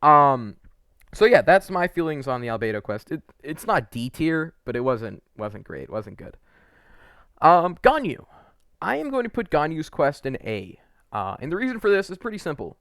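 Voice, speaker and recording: A man's voice: fairly high pitch, big pitch swings, moderate pace, a bit bright, clear, resonant tone, no echo. Casual man reviewing games, dry close sound. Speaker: video creator and host. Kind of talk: casual opinionated video game review commentary.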